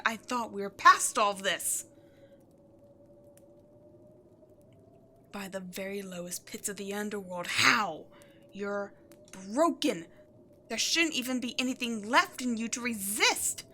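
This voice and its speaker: An imperious demon queen, acted by a woman, speaks with a bright, forceful edge and slow, deliberate timing. She is seductive and haughty, a theatrical villain from an audio roleplay. There is a clear layer of noise behind her. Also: on the high side. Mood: exasperated, angry. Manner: theatrical, breathy asides, haughty. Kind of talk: scripted ASMR audio roleplay addressed to listener.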